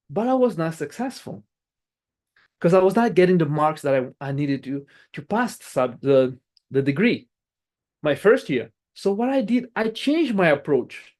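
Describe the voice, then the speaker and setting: Lively motivational speaker. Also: medium pitch, normal speed. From Angola. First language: Portuguese. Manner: emphatic, repeats key phrases, restarts words. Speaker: club speech-giver. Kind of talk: prepared motivational speech.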